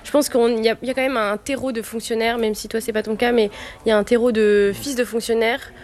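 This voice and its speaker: Young woman, halting earnest answers. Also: on the high side, fairly even intonation, darkish tone. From France. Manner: earnest, many hesitant fillers. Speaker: civil service student. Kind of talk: interview answers.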